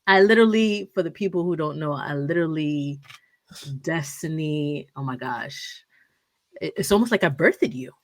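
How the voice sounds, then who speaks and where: A woman hosting a podcast, chatty and animated, with many fillers and playful asides. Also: deep voice, normal speed. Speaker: podcast host. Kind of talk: casual podcast chat.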